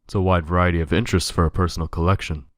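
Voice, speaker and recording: A man playing a brooding, protective playboy detective in an audio drama, speaking in a grave, measured way. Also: low-pitched, little pitch movement, dry recording, clean studio sound, close to an everyday voice. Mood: grave, insistent.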